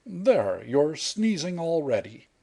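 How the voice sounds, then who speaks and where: A man's voice: fairly high pitch, big pitch swings, gravelly, dark tone, breathy edge, pitched above the performer's own voice, heavily acted. A man reading aloud and voicing an unruffled young man who teases his sweetheart with dry humour. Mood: teasing. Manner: unhurried, dry, wry.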